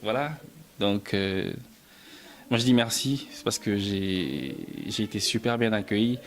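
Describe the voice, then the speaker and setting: Guy from belgium chatting in an interview, lowish voice, trips over his words a lot. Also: unhurried. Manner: hesitant, many repeated words and restarts, soft-spoken. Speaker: musician and film writer, interview guest. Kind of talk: casual interview answers.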